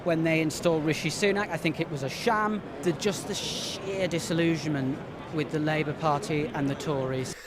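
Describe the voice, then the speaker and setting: A man's voice: on the high side, steady tone, moderate pace. A man in his twenties giving a street interview, emphatic and repeating slogans. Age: 20s. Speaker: party conference attendee. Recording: outdoor street noise.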